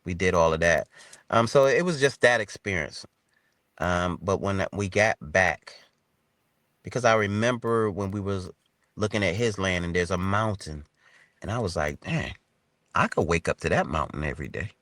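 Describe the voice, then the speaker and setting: A man's voice: deep voice, animated, normal speed, a bit bright, no room sound. A man in his fifties with an American accent, telling his story casually as an interview guest in informal, colloquial phrasing. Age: 50s.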